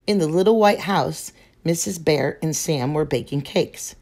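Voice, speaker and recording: A woman reading a children's picture book aloud in a slow, gentle and expressive way. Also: low voice, creaky. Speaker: storyteller.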